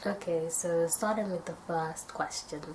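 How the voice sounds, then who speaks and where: A young woman from the UK chatting casually to camera in a tag video, her nose stuffy from a cold and her voice a little raspy. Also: fairly low pitch, fairly even intonation, measured pace, a little hiss or hum. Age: young adult. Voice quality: slightly raspy. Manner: chatty, thoughtful, rambling with fillers. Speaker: vlogger.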